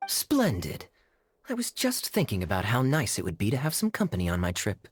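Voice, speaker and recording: A man's voice: on the low side, wildly swooping pitch, a little fast, slight background noise. A man acting a suave, teasing, silver-tongued young cavalry captain with a theatrical manner in a video game. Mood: agreeable. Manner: smooth-talking, theatrical, teasing. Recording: background music under voice.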